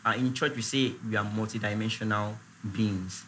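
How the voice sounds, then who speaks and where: A man, chatting casually as a guest on a talk show, relaxed and repeating his words. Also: on the low side, normal speed, slight background noise, rough recording. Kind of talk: casual chat.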